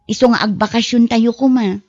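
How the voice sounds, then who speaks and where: A woman's voice: a little high, steady tone, fairly quick, darkish tone, close to an everyday voice. A woman with a Filipino accent acting a worried, scolding family woman in an audio drama. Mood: tender, relieved. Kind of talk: amateur Ilocano radio-style drama acting. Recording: dull, roomless lo-fi audio.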